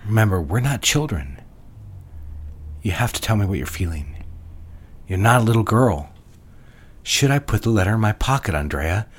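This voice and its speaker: A man playing a husky, hushed, controlling lover. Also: on the low side, big pitch swings. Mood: commanding. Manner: slow, hushed, commanding. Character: controlling, possessive man, low and insistent. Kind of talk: audio drama acting.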